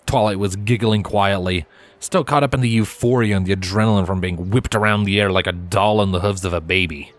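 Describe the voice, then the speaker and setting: A man's voice: lowish voice, a little fast. A man reading scripted story narration as the narrator.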